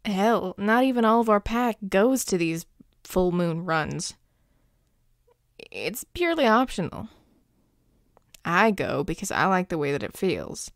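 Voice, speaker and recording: Woman as gravelly, slow-talking werewolf boyfriend. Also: medium pitch, big pitch swings, no room sound, studio quality. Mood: tender, reassuring. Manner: gruff but tender, reassuring, halting. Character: grumpy, devoted young male werewolf boyfriend. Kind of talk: intimate audio roleplay, spoken to the listener.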